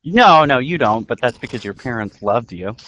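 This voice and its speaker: A man with a Southern accent chatting casually as a podcast co-host, animated, with lots of fillers and a soothing voice. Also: mid-range voice, normal speed. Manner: animated, many fillers, loud-soft swings. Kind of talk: casual chat. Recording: muffled lo-fi with faint hiss.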